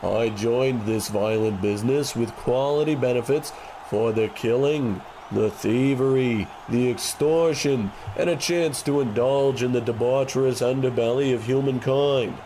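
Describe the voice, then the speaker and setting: A man's voice: medium pitch, a little slow, mellow, a little hiss or hum, close to an everyday voice, rough recording. A man playing an earnest, conscience-stricken gangster who speaks like an orator, in an old-time radio comedy. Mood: earnest, oratorical. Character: earnest, conscience-stricken male gangster making a grand speech.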